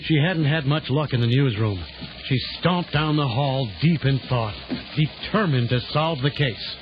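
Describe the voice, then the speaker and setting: An actor as the storyteller, giving dramatic scripted narration for a children's audio drama. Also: male voice, medium pitch, unhurried, close to an everyday voice. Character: male storyteller of an adventure tale. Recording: dull lo-fi audio with music.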